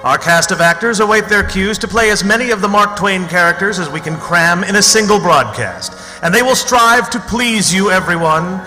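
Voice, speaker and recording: A man's voice: high-pitched, normal speed, few pauses, pushed and bright, soft background music. A man speaking as host and announcer of a live radio theatre show, introducing it from the stage. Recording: echoey theatre hall recording.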